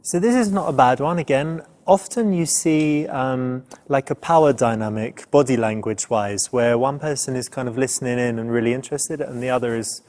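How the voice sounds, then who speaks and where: Man, mid-pitched, dating coach, casual commentary, conversational advice. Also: moderate pace, few pauses, a little mellow.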